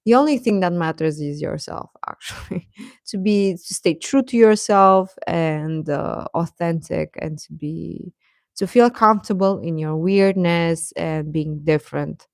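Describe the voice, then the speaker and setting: A woman with a slightly low voice talks casually to her livestream audience. She is encouraging and reassuring as she offers advice, and the sound is dry, with very little room on it. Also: a little slow, gravelly, no room sound. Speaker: livestream host talking with young viewers.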